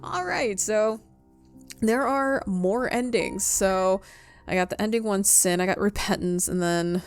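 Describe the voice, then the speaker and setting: A woman's voice: medium pitch, unhurried. Female gaming host, mid-range voice, casual and chatty with viewers, faint music underneath. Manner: chatty, affectionate toward viewers. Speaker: video game streamer, channel host. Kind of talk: casual gameplay commentary to viewers.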